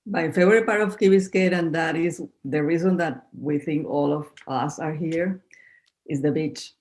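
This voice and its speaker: A woman's voice: low-pitched, moderate pace, a little mellow. A woman in her fifties from Venezuela, a native Spanish speaker, answering interview questions in a podcast in an earnest, slightly formal way. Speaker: guest.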